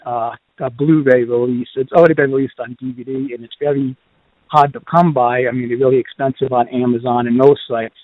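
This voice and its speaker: A man's voice: medium pitch, no room sound. A man with a Boston accent hosting a podcast, chatting casually through reviews with lots of ums and uhs. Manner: rambling, many ums and uhs. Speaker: podcast host. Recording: dry, phone-like lo-fi sound.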